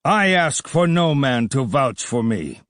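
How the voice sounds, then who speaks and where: A man's voice: medium pitch, a little slow, dry recording, studio quality, pitched above the performer's own voice, lightly acted. A man voicing a confident, swaggering Kurdish desert rider who speaks boldly and proudly. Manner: bold, proud, flattering.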